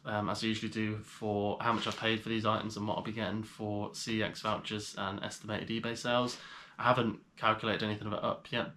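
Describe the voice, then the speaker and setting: A man's voice: on the low side, slight background noise. Nasal man with a cold. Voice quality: slightly nasal. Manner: relaxed, rambling, with frequent pauses. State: has a cold. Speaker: reseller vlogger. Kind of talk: casual vlog talk showing items to camera.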